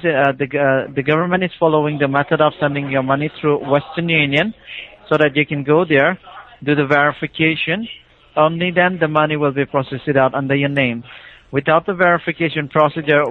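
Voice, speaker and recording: Indian guy on a phone call, thin tinny line, slightly high pitched, keeps explaining steps pushily. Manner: insistent, repetitive, procedural. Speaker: phone scam caller. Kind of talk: persuasive phone sales-style explanation. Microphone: telephone call.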